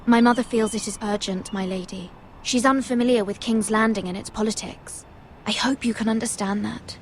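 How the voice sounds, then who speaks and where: A woman's voice: mid-range voice, steady tone, normal speed, slight background noise, lightly acted. A woman playing an earnest young noble handmaiden in a video game, speaking politely and carefully, with anxious deference. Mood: earnest, respectful. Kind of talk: cinematic video game voice acting.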